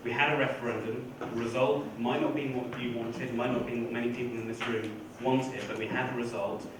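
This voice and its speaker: A man speaking as a council candidate in a debate, calm and conciliatory. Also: mid-range voice, brisk. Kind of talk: debate speech. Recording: echoey hall, far from mic, noise.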